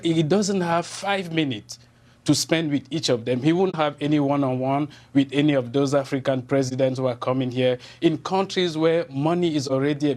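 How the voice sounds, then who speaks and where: Indignant man with a Francophone Cameroonian accent. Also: a little high. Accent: Cameroonian French. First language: French. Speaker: journalist, interview guest. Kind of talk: television interview.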